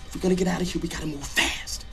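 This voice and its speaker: An actor playing a jittery, paranoid American radio disc jockey in a video game, with an urgent, stammering delivery. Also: male voice, fairly high pitch, steady tone, rapid-fire, vocal fry, pushed and bright, soft background music. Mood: urgent, nervous.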